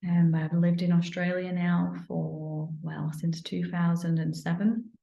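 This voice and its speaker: A woman from England with a Manchester accent, an energy healer talking casually about her workshops, relaxed and meandering with many ums. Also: on the low side, unhurried, gravelly, roomy sound, dull audio. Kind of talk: casual promotional talk to camera.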